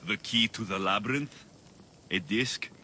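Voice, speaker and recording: An actor playing a steady, confident Ancient Greek mercenary hero in a video game cutscene. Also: male voice, fairly low pitch, a little slow, a little hiss or hum. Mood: matter-of-fact.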